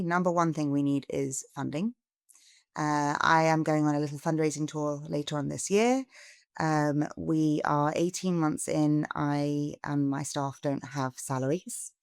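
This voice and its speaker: A middle-aged woman from England, a charity founder giving a podcast interview, earnest and growing animated as she asks for help. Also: low-pitched, clear, resonant tone, no echo, clean studio sound. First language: English.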